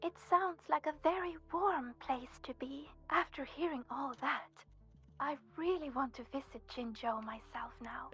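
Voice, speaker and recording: A woman's voice: sits high, measured pace, sharp tone. An actress voicing a friendly, eager young female student in a video game, chatty, playful and sincere. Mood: friendly, curious. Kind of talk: scripted video game voice acting. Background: background music.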